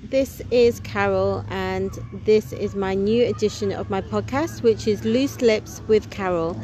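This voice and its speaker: A woman's voice: medium pitch, a little slow, warm tone, a little hiss or hum, poor audio. Chatty woman hosting a podcast. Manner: chatty, enthusiastic. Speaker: podcast host. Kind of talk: casual podcast chat.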